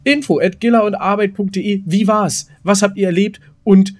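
A man chatting casually as a podcast host, rambling and halting, often repeating his words mid-sentence. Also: high voice, steady tone. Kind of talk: casual chat between co-hosts.

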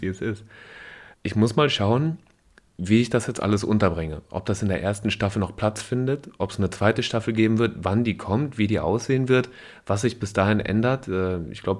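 A man hosting a podcast, talking in a relaxed, chatty way with slang and fillers while he has a cold. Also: fairly low pitch. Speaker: podcast host. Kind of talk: casual podcast chat.

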